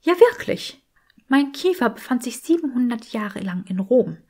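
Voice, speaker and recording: Audiobook character: flirty, theatrical talking skull of an ancient female saint, high and trilling, played by a woman. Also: very animated, moderate pace, a little mellow, breathy edge. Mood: chatty, grand.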